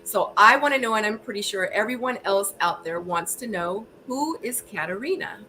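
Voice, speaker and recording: A woman's voice: medium pitch, expressive, normal speed, sharp tone, faint music behind, poor audio. A woman hosting a live streamed interview show, chatty and upbeat as she greets her chat. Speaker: livestream interview host. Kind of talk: casual livestream chat.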